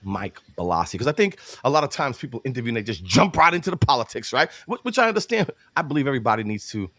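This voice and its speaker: A man's voice: medium pitch, very animated, fast, a bit bright, no room sound. A man from New York hosting a casual interview show, hyping his guest, laughing often and calling him brother. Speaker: show host.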